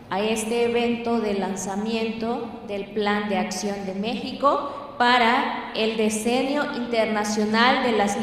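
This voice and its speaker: Slow-paced Mexican woman announcing in echoing hall. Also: on the high side, far from the mic. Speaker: master of ceremonies. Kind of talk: formal ceremonial event announcing. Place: large event hall. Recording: very echoey hall, amplified, faint noise.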